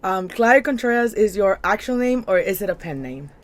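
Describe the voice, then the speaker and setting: A woman's voice: mid-range voice, animated, normal speed. A woman hosting a book channel, interviewing an author in an eager, upbeat way. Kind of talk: casual interview.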